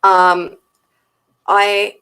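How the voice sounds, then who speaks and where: A woman's voice: mid-range voice, flat delivery, extremely slow, pushed and bright. A woman in a casual book discussion, thinking aloud as a co-host with hesitant phrasing.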